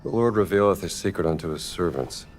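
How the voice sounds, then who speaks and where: A man playing a gruff, wry American archaeologist adventurer in a cinematic game, dry and sardonic. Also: low voice, expressive, fast talker, a little hiss or hum. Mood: wry, irritated.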